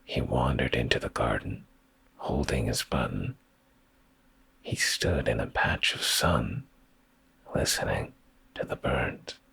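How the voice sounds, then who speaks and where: A man with a very deep, gravelly, breathy voice reads bedtime stories in a soothing, intimate, hushed ASMR style. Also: takes it slowly, long pauses. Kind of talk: slow whispery ASMR bedtime storytelling.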